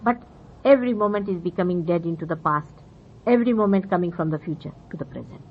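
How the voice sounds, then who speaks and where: A woman from India whose first language is Marathi speaks with an Indian accent, explaining spiritual ideas earnestly to her listeners and pausing often with fillers. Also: lowish voice, slightly rough. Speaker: spiritual teacher. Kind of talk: spiritual talk to followers. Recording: dull old 1970s tape with faint hiss.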